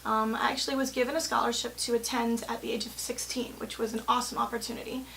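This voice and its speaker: A woman's voice: fairly high pitch, level tone, quick pace, gravelly, a bit bright, slight background noise, poor audio. A woman in her thirties, a music teacher and business owner, giving an earnest, articulate spoken profile of herself. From born in London, grew up in the Bahamas and England. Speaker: music teacher and company president. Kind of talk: spoken personal profile to camera.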